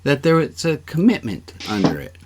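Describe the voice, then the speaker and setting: A man's voice: mid-range voice, wildly swooping pitch, normal speed, a little mellow, breathy edge. A man speaking as a rabbi at an informal online service, conversational and often putting questions to the group. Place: online video-call gathering.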